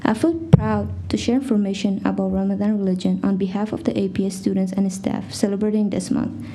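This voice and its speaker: A teenage girl, a Farsi native speaker, reading a prepared statement at a school board meeting with careful, steady delivery. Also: medium pitch, fairly quick. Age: teens. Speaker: student speaker.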